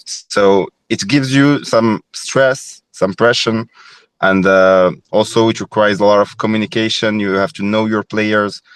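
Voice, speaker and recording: Deep-voiced young man, reflective podcast guest. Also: steady tone, unhurried, clear, resonant tone, no echo. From Morocco. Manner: thoughtful, hesitant, many fillers and restarts. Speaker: former goalkeeper, guest.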